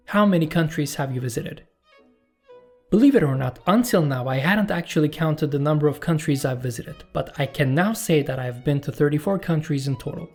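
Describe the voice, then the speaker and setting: A Turkish man, a native Turkish speaker, hosting his channel and reading out viewer questions and his answers in a calm, measured voice-over. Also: fairly high pitch. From Turkey. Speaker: YouTube channel host. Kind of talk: scripted Q&A voice-over.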